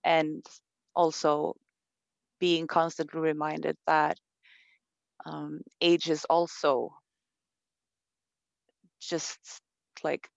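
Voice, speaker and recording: A woman's voice: low-pitched, level tone, extremely slow, creaky, long pauses, dry recording, highs cut off. A woman from Sweden with a Swedish accent, speaking thoughtfully in an interview, with many fillers and restarts. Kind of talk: reflective conversation.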